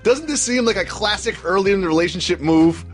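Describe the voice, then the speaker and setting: An American man co-hosting a playful sports talk show, animated and full of rhetorical questions. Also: high voice, fairly quick, soft background music.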